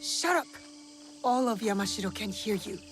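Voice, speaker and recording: A woman's voice: medium pitch, animated, normal speed, close to an everyday voice. An actress playing a stern, loyal young woman warrior in a video game, curt and earnest with a dry, scolding tongue. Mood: irritated, scolding. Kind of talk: dramatic video game dialogue. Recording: music playing behind the voice.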